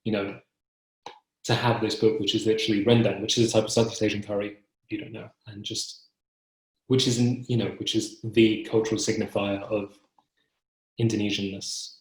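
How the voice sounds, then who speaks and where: A young man speaking as an interviewed poet, reflective and halting, often correcting himself, over a video call. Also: lowish voice, little pitch movement. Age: young adult. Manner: hesitant, halting, self-correcting. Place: book-lined room at home, indoors. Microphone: computer mic on video call. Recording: roomy, echoing video call.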